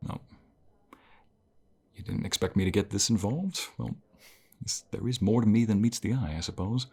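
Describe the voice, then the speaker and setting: A man playing a caring, encouraging college professor in an intimate ASMR role play, speaking softly in a gentle, unhurried, reassuring way. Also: on the low side, breathy edge. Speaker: voice actor in an audio role play.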